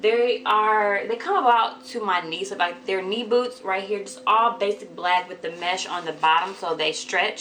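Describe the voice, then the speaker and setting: Hoarse chatty woman vlogger. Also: pushed and bright, a little hiss or hum, low-quality recording. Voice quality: deeper than usual. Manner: chatty, addresses viewers as y'all. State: hoarse from a cold. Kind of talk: casual haul vlog chat.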